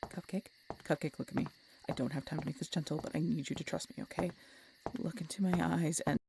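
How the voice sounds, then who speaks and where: A woman's voice: deep voice, fairly even intonation, quick pace, vocal fry, background noise. A woman playing a snarky, teasing female vampire in an audio roleplay, talking to the listener in a wry, conversational way. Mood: urgent. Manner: sardonic, wry, playful, conversational. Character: snarky, swearing female vampire road-tripper, teasing and dramatic.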